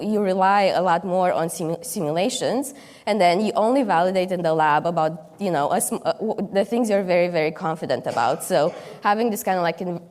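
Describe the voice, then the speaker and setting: Young woman from Romania, keynote speaker, halting with fillers, long hall reverb. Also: mid-range voice, darkish tone. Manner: many fillers and restarts, hesitant. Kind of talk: conference keynote talk. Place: conference hall stage.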